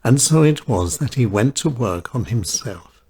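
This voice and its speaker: A man with a husky voice reading short stories in a measured, dramatic way, with short phrases and pauses. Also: mid-range voice, lively intonation, darkish tone. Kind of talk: scripted short story narration.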